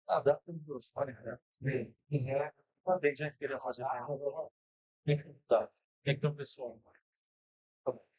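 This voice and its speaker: Guy mumbling offstage, quiet, half-heard. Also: medium pitch, fairly even intonation, a little slow, long pauses, rough recording.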